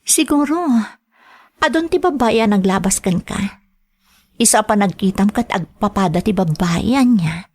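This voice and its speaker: A devoted, trusting sweetheart played by an actress in an Ilocano radio drama. She speaks at a medium pitch with an Ilocano Filipino accent, in an earnest romantic style. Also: lively intonation, moderate pace, long pauses, a little mellow, close to an everyday voice. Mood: questioning, hurt. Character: Josie, trusting woman devoted to her first love.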